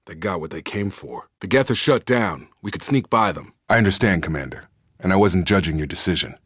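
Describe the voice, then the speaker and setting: A man's voice: deep voice, expressive, fairly quick, a bit bright, husky, dry recording, studio quality, exaggerated voice. A man playing a loyal, guarded soldier in a video game, speaking in clipped, matter-of-fact military phrases. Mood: steady, serious. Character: steady, loyal male soldier, guarded and plainspoken. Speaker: video game squad member. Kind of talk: scripted video game dialogue.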